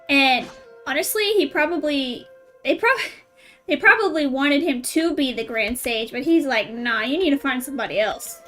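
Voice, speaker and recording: A woman's voice: high voice, fairly bright tone. A woman streaming a game, chatting casually as she reacts to it. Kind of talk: casual gameplay reaction chat. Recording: game music behind the voice.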